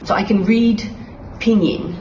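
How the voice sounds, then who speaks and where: A woman's voice: medium pitch, fairly even intonation, measured pace, background noise. A woman answering an interview question in a chatty, self-deprecating way.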